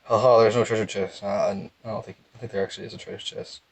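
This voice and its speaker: A young man with a calm, low voice, giving casual, rambling game commentary in a laid-back monotone. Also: rapid-fire, no echo. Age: young adult. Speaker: gaming video host.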